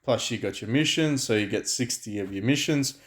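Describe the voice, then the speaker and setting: Male gaming host, loud voice, rambling casual chat. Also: mid-range voice, brisk. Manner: loud, chatty, many fillers and restarts. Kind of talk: casual gameplay commentary. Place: home room, night, dogs and frogs outside.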